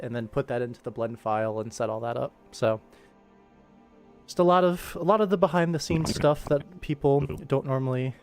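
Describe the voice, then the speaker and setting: A man's voice: mid-range voice, a little mellow. A man chatting casually as he hosts a working animation livestream. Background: faint background music.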